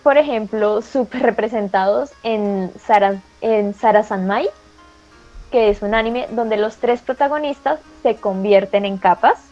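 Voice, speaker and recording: A woman with a Colombian accent, co-hosting an anime show and presenting a segment in a lively, playful way. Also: mid-range voice, a little slow, muffled recording, soft background music. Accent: Colombian Spanish. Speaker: co-host of anime talk show.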